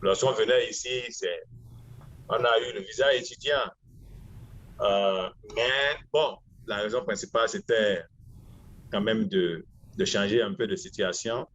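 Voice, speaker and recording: A man in his forties from Benin with a Beninese accent, answering interview questions in a halting, deliberate way. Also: on the low side, a bit bright, highs cut off. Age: 40s. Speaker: interview guest, lawyer.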